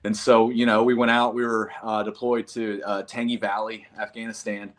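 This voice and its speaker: A man's voice: fairly low pitch, little pitch movement, normal speed. Earnest folksy man in his thirties. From North Carolina. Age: 30s. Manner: earnest, folksy, calls listeners man and brother. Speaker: guest, combat veteran. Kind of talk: casual interview chat.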